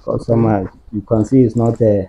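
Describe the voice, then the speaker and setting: A middle-aged man with a Nigerian accent, patiently explaining farm work outdoors with halting speech and many fillers. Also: mid-range voice, flat delivery, mellow, breathy edge. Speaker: farm worker. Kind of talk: explaining farm practice on a walking tour. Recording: dull lo-fi sound.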